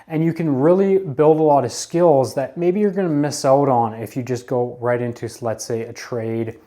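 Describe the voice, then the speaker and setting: Man in his thirties, mellow and warm, casual earnest advice talk, coaching tone. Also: mid-range voice, normal speed. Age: 30s. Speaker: advice vlogger.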